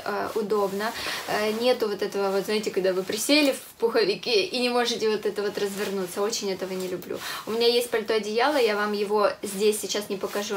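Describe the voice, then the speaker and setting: A woman's voice: a little high, slight background noise. A young woman vlogging casually to camera in a small room, with a stuffy nose from a cold, talking chattily with many hesitation sounds. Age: young adult. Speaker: fashion vlogger. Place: small room with a clothes rack, indoors.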